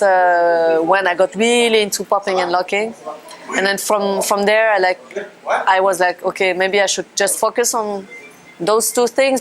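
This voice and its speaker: A woman's voice: mid-range voice, rough recording. A woman in her thirties from France with a French accent, speaking English as a second language and telling her life as a dancer in a hesitant way, with many fillers and restarts. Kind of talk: casual personal account.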